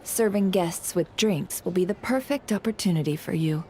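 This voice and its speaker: Woman playing a poised, shrewd high-society lady. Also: normal speed, dark tone, slight background noise, natural voice. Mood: smooth, confiding. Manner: composed, formal, persuasive. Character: poised, shrewd, influential lady hosting a banquet. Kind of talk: scripted game voice acting. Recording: music behind the voice.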